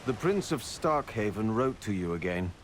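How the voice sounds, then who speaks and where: A pedantic seneschal in a fantasy game. The voice is on the low side with lively pitch swings, dry, formal and put upon, over faint ambience. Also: male voice, normal speed, a little hiss or hum. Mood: dry, stuffy. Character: stuffy, exasperated male city seneschal, fussy about rules. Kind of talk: video game voice acting.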